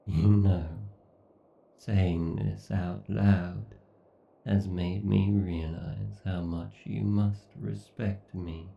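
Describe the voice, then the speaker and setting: A man voicing an arrogant, obsessed, sadistic AI god in a horror roleplay, pompous and menacing, with theatrical pauses. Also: sits low, level tone, gravelly, reverberant, far from the mic, muffled recording, heavily acted. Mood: smug, possessive. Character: obsessed, sadistic, arrogant godlike male AI villain. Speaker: voice actor. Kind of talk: horror ASMR roleplay monologue.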